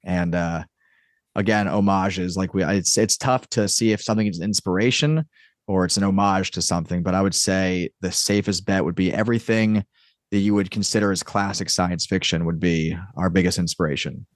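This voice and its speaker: Low-voiced man chatting casually in an interview. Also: fairly even intonation, normal speed, no room sound, studio quality. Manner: rambling, explanatory, peppered with "like" and "you know". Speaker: podcast guest, audio drama creator and writer.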